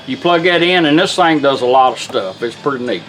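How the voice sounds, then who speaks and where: A man's voice: medium pitch, lively intonation, a little fast, over music, low-quality recording. A plainspoken man, casually demonstrating a product he restored. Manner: folksy, plainspoken.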